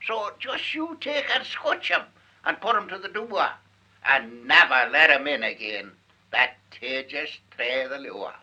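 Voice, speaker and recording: A man's voice: mid-range voice, big pitch swings, a little slow, sharp tone. A man with a Manx accent giving a theatrical, emphatic recitation of dialect poetry on a 1940s archive recording. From Isle of Man. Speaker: Manx language student reciting dialect verse. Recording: 1948 archive field recording.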